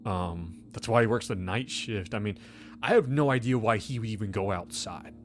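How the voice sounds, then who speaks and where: A man playing a distressed, defensive gas station manager in a tabletop game, halting and repeating himself. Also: fairly low pitch, faint music behind, natural voice. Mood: distressed, baffled.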